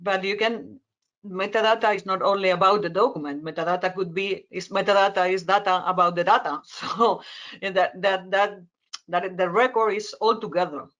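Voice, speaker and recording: Halting Spanish-accented woman explaining. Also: mid-range voice, steady tone, fairly bright tone. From Spain. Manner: halting, word-searching explanation with repetitions. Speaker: expert panelist. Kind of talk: conference panel discussion.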